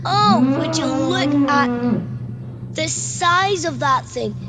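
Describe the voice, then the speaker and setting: A woman voicing an excitable, wide-eyed young boy in an amateur radio play, gleeful and full of exclamations. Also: high-pitched, takes it slowly. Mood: awed, excited. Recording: noisy, echoey home recording.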